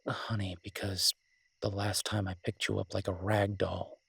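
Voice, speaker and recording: Low-voiced, tender man as werewolf boyfriend. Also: flat delivery, vocal fry, a bit bright, breathy edge, exaggerated voice. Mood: playful, teasing. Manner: tender, teasing, unhurried pauses. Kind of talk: intimate romantic audio roleplay.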